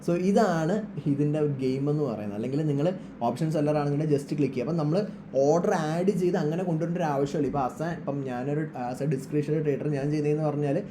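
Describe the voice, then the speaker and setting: A man's voice: a little high, fast talker, noisy background. A man giving an informal, instructional screen-share trading tutorial, mixing English terms into his speech. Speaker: trading tutorial presenter, trader.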